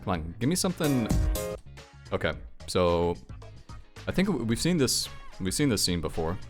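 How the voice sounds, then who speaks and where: A man's voice: lowish voice, big pitch swings, moderate pace, over music. A man giving casual, rambling reaction commentary as the host of a gaming video.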